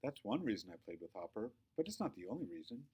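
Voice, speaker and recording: Storybook lead: quirky, earnest schoolgirl, read by a man. Eager, chatty, expressive, very animated melody. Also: lowish voice, quick pace, husky. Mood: puzzled, eager. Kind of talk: children's storybook read-aloud.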